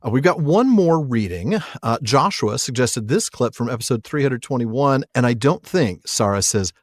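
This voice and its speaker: A man's voice: medium pitch, animated, moderate pace, no room sound. Effusive male podcast host, dry sound. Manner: effusive, enthusiastic. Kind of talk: casual book discussion.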